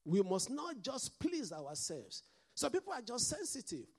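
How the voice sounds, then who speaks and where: A man's voice: very high voice, huge pitch swings, a little slow, gravelly, a little mellow, breathy edge. A man with a mixed Nigerian and Scottish accent, preaching a sermon as pastor and calling out to the congregation for responses.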